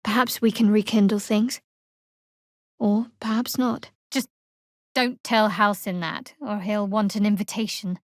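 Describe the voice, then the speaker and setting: A woman's voice: mid-range voice, flat delivery, dry recording, close to an everyday voice. Woman playing a dry, guarded young cleric, close studio sound. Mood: teasing, intimate. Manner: dry, sardonic, measured. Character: guarded, wry young female cleric of a dark goddess. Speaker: video game voice actor. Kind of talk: video game character dialogue.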